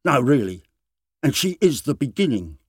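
A man's voice: mid-range voice, big pitch swings, moderate pace, slightly rough, no echo, clean studio sound. A man voicing a fat pub landlord in a short story, gushing, sweary and fiercely devoted, in an emphatic, confiding way that repeats itself. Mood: awed, insistent. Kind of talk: dramatised short-story reading.

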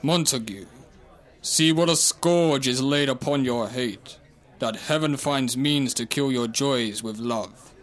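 A man plays a stern prince rebuking his subjects in a Shakespearean audio play. His British voice is medium in pitch. He declaims solemnly and very slowly. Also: natural voice. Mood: stern sorrow. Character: stern ruling prince rebuking feuding houses. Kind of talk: Shakespearean audio drama acting.

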